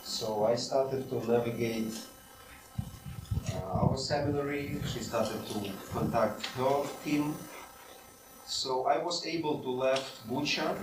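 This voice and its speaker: A middle-aged Ukrainian-accented man speaks as an interview guest, choosing his words carefully with short pauses. He sounds set back from the mic in an echoey room, with clear noise behind him. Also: medium pitch. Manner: careful, slightly halting English with pauses. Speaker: seminary president, guest. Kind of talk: interview answers. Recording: roomy, distant, noisy hall audio.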